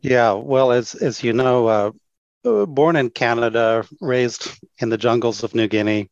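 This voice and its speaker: A man in his sixties speaks as a podcast guest in a middle-pitched voice. He tells his story in an easygoing, unhurried way. His audio comes through muffled and completely dry, as if over a remote call. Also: a little mellow, no echo. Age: 60s. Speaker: interview guest, mission organization president. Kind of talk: casual interview chat.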